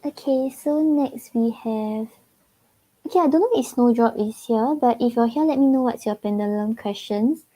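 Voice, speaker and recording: A woman's voice: a little high, normal speed, dark tone, poor audio. A woman from Singapore with a Singaporean English accent giving casual tarot readings on a livestream. She chats loosely, fills with "like" and giggles often. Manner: chatty, giggles often, many "like" fillers. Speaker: tarot reader.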